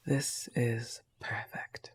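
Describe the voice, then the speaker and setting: A young woman playing an affectionate, possessive, teasing wolf-girl girlfriend in an intimate roleplay audio, tender and often breathy. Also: extremely low pitch, sharp tone, heavily acted.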